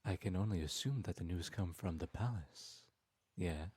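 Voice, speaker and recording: A man voicing a sly, smooth-talking male trickster god in an audio roleplay, speaking in a silky, teasing and persuasive way. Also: low voice, moderate pace, dark tone, dry recording. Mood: scheming.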